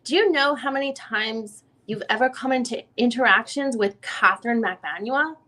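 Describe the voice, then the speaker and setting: A woman's voice: on the high side, moderate pace, sharp tone, slight background noise. A woman reading the part of a lawyer questioning a witness at a deposition in a formal, methodical way. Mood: formal, probing. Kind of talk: dramatic reading of a legal transcript.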